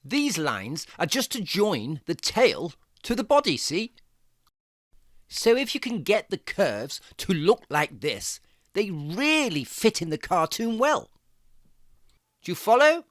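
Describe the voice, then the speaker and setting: Bright, theatrical cartoon fox teacher. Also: mid-range voice, big pitch swings, dry recording, clean studio sound. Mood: encouraging, jaunty. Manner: theatrical, deliberate, encouraging. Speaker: presenter of children's drawing tutorial. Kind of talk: playful step-by-step instruction.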